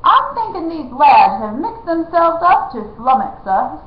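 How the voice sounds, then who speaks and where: A woman voicing a big, crafty, bullying male outlaw who talks loud and coarse. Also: high-pitched, big pitch swings, a little slow, a little hiss or hum, voice pushed up. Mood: suspicious. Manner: loud, coarse rustic talk. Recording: roomy, slightly dull recording.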